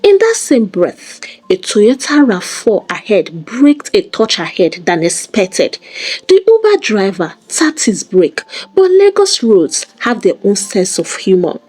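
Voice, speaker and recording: Expressive woman storyteller, mellow and swooping. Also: mid-range voice, measured pace. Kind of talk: dramatic scripted folktale narration.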